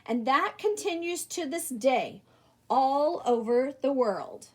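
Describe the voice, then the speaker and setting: Woman, high voice, very lively intonation, expressive children's read-aloud, emphatic, talks directly to young listeners. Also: slow. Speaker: storybook reader.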